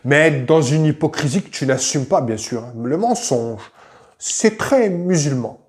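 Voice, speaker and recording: Fairly high-voiced, confrontational male commentator. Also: expressive, a little slow. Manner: confrontational, addresses listener directly, repeats himself. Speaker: YouTube commentator. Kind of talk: informal argumentative commentary.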